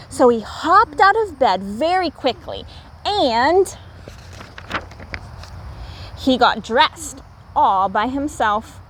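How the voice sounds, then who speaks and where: Slow, high-voiced woman reading a children's story. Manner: animated storytelling with emphatic, deliberate phrasing. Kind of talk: expressive read-aloud of a picture book for young children.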